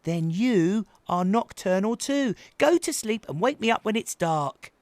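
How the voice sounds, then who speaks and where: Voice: medium pitch, lively intonation, unhurried, a little mellow, no echo, pitched above the performer's own voice, lightly acted. A storyteller voicing a bossy young brother owl who lectures in an exasperated, insistent way for a children's story. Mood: condescending, exasperated.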